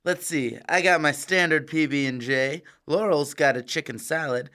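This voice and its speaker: A man playing a cheeky, easygoing and slightly dim teenage high school boy in an audio drama, with breezy, slangy talk. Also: moderate pace, pushed and bright. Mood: friendly.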